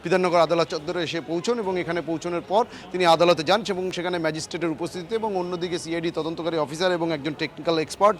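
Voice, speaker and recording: Male live news correspondent, outdoor city setting, high pitch, rapid wordy delivery. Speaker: field news reporter. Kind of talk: live breaking news report. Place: outdoors at a city courthouse compound.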